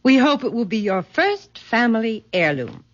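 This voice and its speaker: An actress playing a composed middle-aged mother in a radio drama, genteel, measured and authoritative. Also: on the high side, big pitch swings. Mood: gracious. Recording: muffled vintage radio drama recording.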